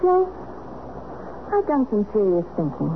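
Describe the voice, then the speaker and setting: Determined wife, tinny noisy radio. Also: female voice, mid-range voice, wildly swooping pitch, unhurried. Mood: earnest. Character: Liz, determined wife and mother in marital drama. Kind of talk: 1970s radio drama acting. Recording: noisy phone-like old radio broadcast.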